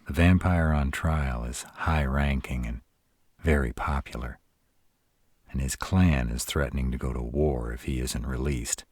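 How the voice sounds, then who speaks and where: An extremely low-voiced, husky male were-dragon, acted by a man for a roleplay audio drama. He is protective and caring, with a wry, casual way of talking. The sound is dry and intimate. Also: unhurried, a little mellow, no room sound, heavily acted. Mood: grave, explaining. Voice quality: very deep, husky.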